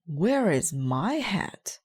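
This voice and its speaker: An earnest storybook dinosaur detective, played by a woman reading aloud, bumbling and easily excited. He speaks slowly and emphatically, raised above a normal low storytelling register. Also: husky, exaggerated voice. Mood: downcast. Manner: emphatic, exclamatory.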